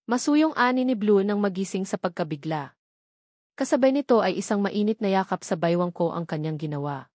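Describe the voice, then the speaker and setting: A woman's voice: medium pitch, expressive, brisk, darkish tone, no room sound, studio quality. A woman with a Filipino accent reading a scripted romance novel as an audiobook narrator.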